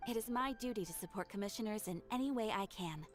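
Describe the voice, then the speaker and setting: A woman voicing a polite, formal female receptionist in a video game who speaks with calm courtesy. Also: medium pitch, fast talker, faint music behind, lightly acted.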